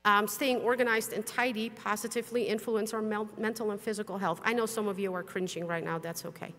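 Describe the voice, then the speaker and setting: A middle-aged woman, Dutch-native, speaks bluntly from a conference stage. Her mid-pitched voice carries a long reverb from the large hall around her. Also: steady tone, fairly quick, fairly bright tone. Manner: frank, blunt, with mild swearing. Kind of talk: conference talk with slides.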